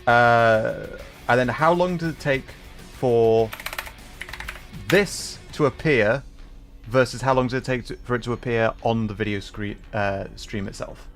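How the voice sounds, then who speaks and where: A man hosts a livestream in a casual, chatty style. His voice sits at an average male pitch, with a wry and self-deprecating delivery. Music plays in the background as he talks. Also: unhurried, fairly bright tone. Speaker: livestream host, gamer.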